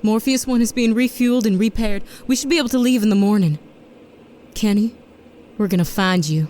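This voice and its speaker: An actress playing a tough, sarcastic woman who leads a space mission in an audio drama, with a brisk, sardonic delivery. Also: medium pitch, mellow, close to an everyday voice. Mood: determined. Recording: noisy background ambience.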